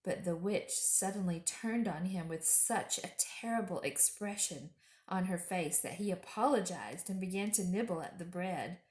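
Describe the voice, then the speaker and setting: A woman's voice: on the low side, moderate pace, darkish tone. Woman reading a storybook aloud, echoey room. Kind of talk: children's storybook read-aloud. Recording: roomy home echo.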